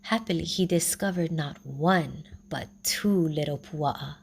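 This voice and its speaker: Aunty storyteller, slow and gentle, lowish voice. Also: female voice, gravelly, a little hiss or hum. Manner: slow, gentle, even reading. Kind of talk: storybook read-aloud.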